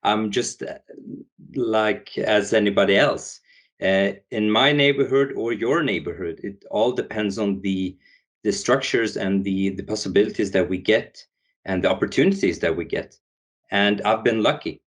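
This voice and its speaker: Swedish-raised young guy, podcast guest, lowish voice, stop-start phrasing. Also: moderate pace. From Sweden. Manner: hesitant, many restarts and fillers. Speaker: guest, founder. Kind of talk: podcast interview.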